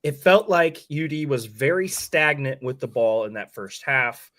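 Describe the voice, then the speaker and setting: Male sports podcast host, hoarse, medium pitch, casual and candid game-breakdown talk. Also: measured pace, fairly bright tone.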